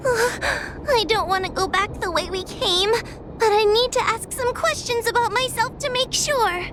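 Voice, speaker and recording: A woman's voice: extremely high pitch, normal speed, background noise. An actress playing a timid, easily scared young girl in a video game, speaking meekly and hesitantly. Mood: frightened. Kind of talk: video game character acting.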